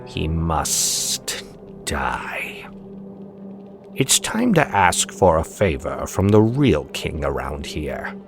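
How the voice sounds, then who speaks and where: A self-righteous, scheming priest acted by a man in an audiobook drama. He speaks slowly and menacingly, with a gravelly creak and wide swings in pitch. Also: big pitch swings, heavily acted. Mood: sinister. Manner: slow, drawn-out, hissing when quiet. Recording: music behind the voice.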